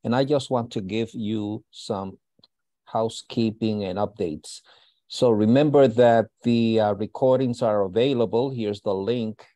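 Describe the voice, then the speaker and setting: A man hosting an online school session, giving housekeeping announcements in a halting way with many uh fillers. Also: lowish voice, warm tone, no room sound. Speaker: host and school founder. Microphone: video call.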